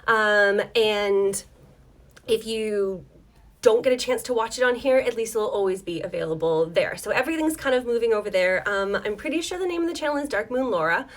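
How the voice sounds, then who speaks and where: A woman's voice: mid-range voice, animated, normal speed. A woman hosting a casual livestream tutorial from her home desk, chatting in a rambling, apologetic way with many ums while losing her voice. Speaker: dice maker hosting a live tutorial.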